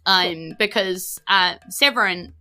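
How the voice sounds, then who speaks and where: A woman's voice: fairly low pitch, slow pace, sharp tone. A woman with a New Zealand accent narrating as game master in an informal, conversational, explanatory way.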